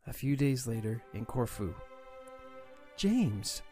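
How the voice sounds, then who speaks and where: A man's voice: medium pitch, a little slow, warm tone, faint music behind. A man hosting and narrating an amateur audio drama. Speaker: channel host and narrator.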